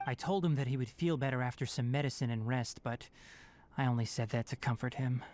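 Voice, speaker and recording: A woman playing a brisk, stern but caring young male forest watcher in a video game, precise and no-nonsense. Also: a bass voice, fairly even intonation, darkish tone, soft background music. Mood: serious, explanatory.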